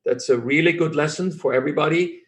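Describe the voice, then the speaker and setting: Guest spot: middle-aged man, German native speaking English, slightly high voice, confident and earnest, restarts sentences. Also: fast. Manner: earnest, self-assured, restarts phrases often. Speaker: physician guest.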